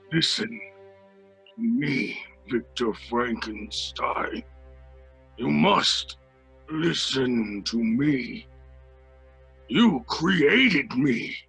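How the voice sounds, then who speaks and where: A man playing a lonely, pleading yet menacing monster in old-time radio horror style, with a raspy growl and halting phrases. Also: mid-range voice, a bit bright, exaggerated voice. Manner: slow, halting, fragmented phrases. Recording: music under the voice.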